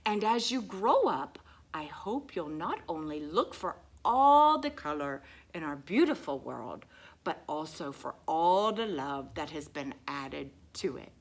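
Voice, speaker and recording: Woman acting an excitable, very animated storybook lady. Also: sits low, unhurried, heavily acted. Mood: tender, emphatic. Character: Mrs. Butterworth, sweet excitable woman reading to children. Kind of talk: children's story reading.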